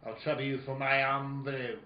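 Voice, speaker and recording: A man playing a genial, wordy, calculating gentleman villain in a theatrical old-time radio play, pompous and courtly. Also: medium pitch, level tone, a little fast, close to an everyday voice. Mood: grandly determined. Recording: roomy, dull, faintly noisy live recording.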